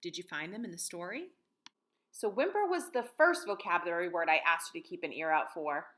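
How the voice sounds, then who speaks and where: A woman's voice: fairly low pitch, lively intonation, fairly quick, fairly bright tone. An American woman in her thirties, a teacher reading and talking to young children in simple, guiding phrases. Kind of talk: picture-book read-aloud and lesson for children.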